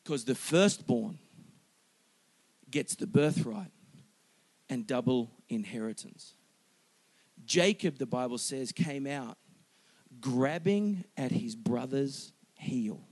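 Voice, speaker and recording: A man in his forties preaching a sermon as a pastor, animated and emphatic, joking with his congregation. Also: mid-range voice, slow, long pauses, husky.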